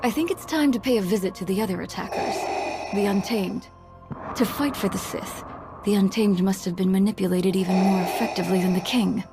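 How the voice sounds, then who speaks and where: A stern, diplomatic stateswoman played by a woman, formal and composed, with a noticeable creak in her mid-pitched voice. Music plays behind this game dialogue. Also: a little fast, close to an everyday voice. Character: composed, stern female government official. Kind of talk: video game cutscene acting.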